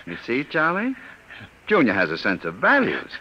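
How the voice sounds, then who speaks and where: Mocking gangster boss, vintage radio: hugely varied intonation, sardonic and menacing, muffled old recording. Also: male voice, medium pitch, wildly swooping pitch, normal speed. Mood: taunting menace. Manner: taunting, sardonic, commanding. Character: cold, mocking male gang boss. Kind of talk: 1940s radio crime drama acting.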